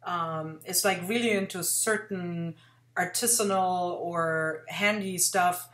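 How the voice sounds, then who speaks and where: A woman's voice: on the low side, takes it slowly, a bit bright. A woman in her forties hosting an interview over a video call, chatty and enthusiastic, rambling with lots of fillers. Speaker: host. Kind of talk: casual interview chat. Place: home room indoors. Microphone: webcam on video call. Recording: roomy webcam sound.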